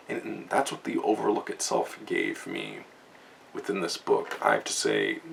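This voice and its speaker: A young man with a low, heavily gravelly voice gives a loose, rambling review, full of "like" and "just" and restarted words. He sits some way back from the mic, with a faint hiss underneath. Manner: rambling, casual, lots of fillers and restarts; chuckles often while talking. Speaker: book reviewer. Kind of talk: casual spoken book review. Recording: distant mic, faint background noise.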